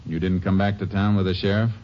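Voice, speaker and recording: A very low-voiced, businesslike Texas Ranger played by a male actor who stays terse and courteous as he investigates. The sound is a muffled old radio drama with some hiss. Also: level tone, fast, close to an everyday voice. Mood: probing. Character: calm, dogged Texas Ranger investigator, a man. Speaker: lead actor, narrator. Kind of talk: 1950s radio drama acting. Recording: dull, hissy old radio recording.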